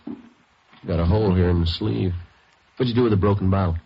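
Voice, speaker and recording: An actor playing a terse, methodical police detective in a 1950s radio drama, narrating and questioning in a clipped, deadpan way. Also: male voice, low-pitched, fast talker, vocal fry, a little hiss or hum. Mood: brisk, probing. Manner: clipped, deadpan, matter-of-fact. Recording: dull vintage radio recording with music.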